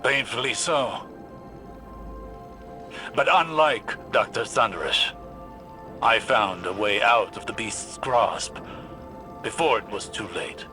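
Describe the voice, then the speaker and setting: An actor playing a grave, world-weary older mentor in a video game, speaking in a measured, philosophical way. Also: male voice, fairly low pitch, pushed and bright, faint music behind. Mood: solemn, rueful. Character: grave, contemplative, world-weary male scholar-warrior mentor. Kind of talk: video game dialogue acting.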